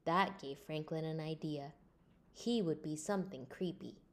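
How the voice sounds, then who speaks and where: A woman reading a scripted children's storybook aloud in an expressive way. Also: low voice, measured pace. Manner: expressive, acts out character lines. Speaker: storybook reader.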